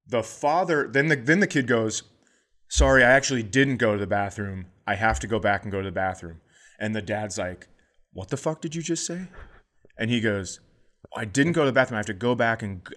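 Animated middle-aged male comedian telling stories. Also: medium pitch. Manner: animated, acts out voices in his stories. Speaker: comedian podcast co-host. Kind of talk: casual comedic storytelling.

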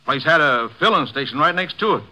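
Brisk, forceful, indignant folksy complainer. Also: male voice, fairly high pitch, animated, rough recording. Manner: blustering, plain-spoken rustic grammar. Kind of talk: 1950s radio drama acting. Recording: old 1950s radio recording.

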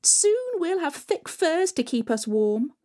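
A woman voicing vain, squabbling young stepsisters in high, shrill voices. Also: very animated, slow, dark tone, heavily acted. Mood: boastful, bickering.

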